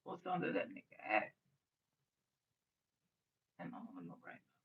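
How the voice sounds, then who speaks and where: A woman's voice: extremely low pitch, very animated, normal speed, dull audio, a little hiss or hum. Light-voiced woman ranting on stream. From US Midwest. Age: 30s. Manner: rambling, blunt, profane, with bursts of laughter. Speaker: livestream host. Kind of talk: late-night casual stream rant.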